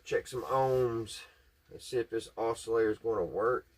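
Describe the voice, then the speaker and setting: A man's voice: medium pitch, normal speed, darkish tone. A man giving casual, hands-on repair commentary, folksy and musing aloud to himself as he works. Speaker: hobbyist repair vlogger.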